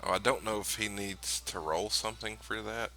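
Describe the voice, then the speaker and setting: A man's voice: on the low side, fairly even intonation, normal speed, vocal fry, bright, forceful tone, faint music behind. A man chatting casually as the game master of a tabletop role-playing session. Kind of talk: casual chat.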